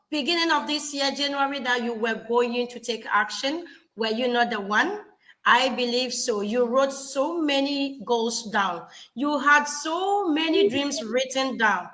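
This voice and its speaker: A woman's voice: on the high side, pushed and bright, low-quality recording. A woman co-hosting a motivational talk show, delivering an emphatic, preachy pep talk full of rhetorical questions aimed straight at the viewer. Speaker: co-host.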